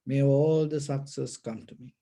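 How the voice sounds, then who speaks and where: A man teaching Buddhist meditation, giving gentle, measured guided instructions in short phrases with pauses. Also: medium pitch, fairly even intonation.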